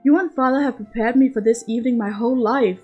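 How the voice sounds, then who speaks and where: A woman's voice: fairly high pitch, fast talker, dark tone, husky, highs cut off, soft background music. A woman voicing a good-hearted, polite sixteen-year-old debutante in an audiobook story. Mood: eager.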